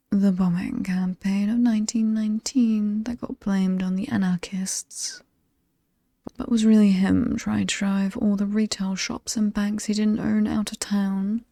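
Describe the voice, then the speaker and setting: Casting: actress as alluring, menacing British demoness for horror ASMR. Breathy half-whisper, dark and creaky, teasingly ominous. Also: female voice, medium pitch, dry recording. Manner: seductive, teasing, theatrically ominous, lingering on words. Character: alluring, sinister ageless demon woman showing off haunted mansion.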